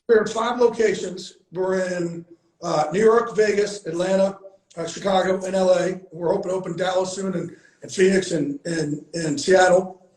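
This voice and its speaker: A man's voice: high voice, steady tone, normal speed, darkish tone. A man hosting a casual heartfelt conversation, emphatic and repeating himself for emphasis, swearing freely. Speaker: host. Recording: echoey room.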